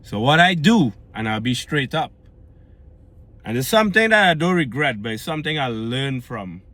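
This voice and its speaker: A man's voice: medium pitch, expressive, normal speed, pushed and bright. A man from Trinidad and Tobago with a Trinidadian accent, talking earnestly in a casual vlog about losing weight and keeping it off, often checking in with "right?". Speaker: fitness vlogger.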